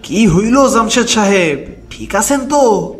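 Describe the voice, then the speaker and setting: A man's voice: high-pitched, lively intonation, slow, slightly rough, faint music behind, exaggerated voice. A man playing an anxious, commanding village cleric in an audio horror story. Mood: alarmed, shouting. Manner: rustic dialect speech, calling out.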